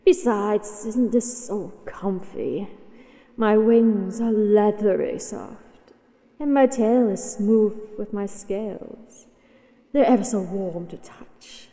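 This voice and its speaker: A woman voicing a possessive female dragon in a roleplay audio, doting yet menacing, with slow, deliberate delivery. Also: a little high, dark tone, exaggerated voice. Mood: teasing. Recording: long echoing reverb.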